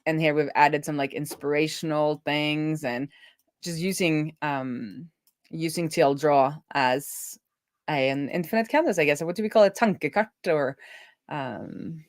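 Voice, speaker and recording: Low-pitched woman thinking aloud while coding. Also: unhurried, no room sound, clean studio sound. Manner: informal, thinks out loud while working. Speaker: live-streaming web developer and host.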